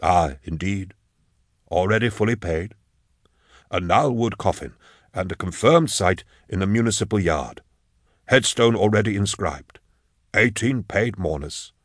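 A man voicing a slender, formal undertaker in an audiobook, measured, deferential and mournful. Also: fairly low pitch, animated, dry recording, studio quality. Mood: solemn, deferential.

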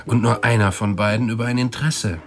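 An actor playing a chatty, cynical debt collector in a German radio drama, casual and wry, with chummy menace. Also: male voice, mid-range voice, fairly even intonation, fast, close to an everyday voice. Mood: matter-of-fact. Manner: casual, wry, matter-of-fact about threats.

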